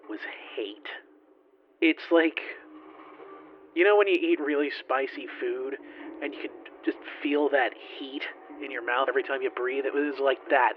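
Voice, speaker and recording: A man playing a bitter, haunted lone flight engineer recording halting, sardonic log entries in a sci-fi horror audio drama. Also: mid-range voice, natural voice. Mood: seething hatred. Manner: halting, confessional, sardonic, profane. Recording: dull lo-fi, faint noisy radio-like hiss.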